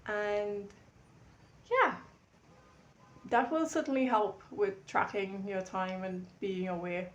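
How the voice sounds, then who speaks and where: A woman's voice: mid-range voice, fairly even intonation, slow, pauses often, lo-fi sound. A woman dressmaker chats to her tripod camera in her home studio. She rambles easily, full of fillers and restarts. Her voice sounds a little stuffy, as if she has a cold. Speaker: vlogger and dressmaker. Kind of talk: casual vlog chat to camera.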